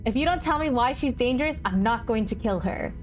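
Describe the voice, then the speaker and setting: A woman's voice: a little high, lively intonation, brisk. A woman livestreaming a game in casual, slangy chat, thinking aloud and reading choices out. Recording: stream with game music behind.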